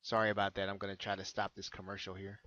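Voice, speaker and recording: Halting American man on dull, dry audio. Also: low voice, monotone, sharp tone, no echo. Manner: hesitant, halting, repeats phrases. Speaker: online marketing consultant giving a tutorial. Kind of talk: unscripted screen-share tutorial. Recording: dull lo-fi close recording.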